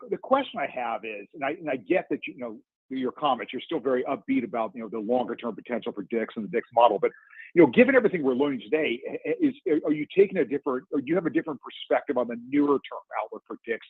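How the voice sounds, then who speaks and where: A male financial analyst putting questions on an earnings call, phrasing them haltingly, over a phone line. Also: mid-range voice, animated, breathy edge, no echo. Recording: narrowband phone line, dry.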